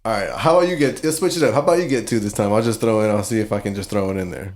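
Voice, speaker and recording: A man's voice: fairly low pitch, racing. A man hosting a casual podcast, speaking effusively in slang and often repeating himself. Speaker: podcast host.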